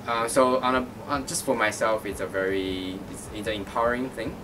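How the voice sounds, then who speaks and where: Taiwanese-born artist, thirties, low-pitched, thinks aloud in restarts; recorded off-mic over music. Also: male voice, steady tone. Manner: thoughtful, halting, many fillers and restarts. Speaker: artist and art teacher. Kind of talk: interview answers. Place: gallery. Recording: distant mic with music underneath.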